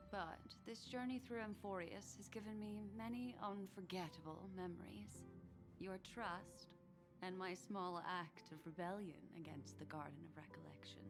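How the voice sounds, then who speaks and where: A woman voicing a gentle, mysterious, elegant lady in video game dialogue, soft, breathy and composed. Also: on the low side. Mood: solemn, gentle.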